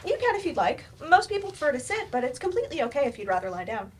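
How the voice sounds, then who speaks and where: A supportive therapist played by a woman with a high voice and lively, swooping intonation. It is live stage dialogue with clear room noise behind it. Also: racing. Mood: professional, welcoming. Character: measured, supportive female doctor, a therapist. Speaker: actor in a staged play reading. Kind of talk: stage play reading.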